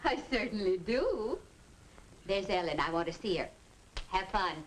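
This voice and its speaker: A woman's voice: medium pitch, animated, a little slow, natural voice. A woman playing a gracious, courteous mother in a 1950s educational film. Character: gracious, courteous mother hosting a teenage party. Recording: faintly noisy old film soundtrack.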